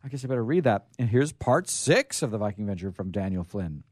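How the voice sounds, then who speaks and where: A man hosting a radio show, reading stories aloud in an informal, halting but theatrical way. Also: lowish voice, animated, darkish tone, dry recording, clean studio sound. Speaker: radio show host and storyteller.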